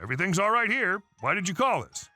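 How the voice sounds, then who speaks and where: A man's voice: high voice, very animated, fast talker, pushed and bright, faint music behind, exaggerated voice. A man reading a firm, patient police officer.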